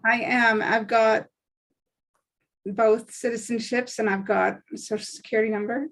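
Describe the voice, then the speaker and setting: A woman's voice: fairly high pitch, steady tone, moderate pace, a bit bright. A woman from Canada, an actor, introducing herself and chatting casually on a video call. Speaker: actor and writer. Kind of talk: casual self-introduction and chat.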